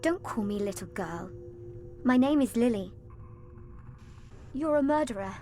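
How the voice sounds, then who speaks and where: A high-voiced, earnest orphan girl. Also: animated, measured pace, mellow, soft background music. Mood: defiant. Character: young orphan girl, earnest, defiant, moralizing. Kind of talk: video game voice acting.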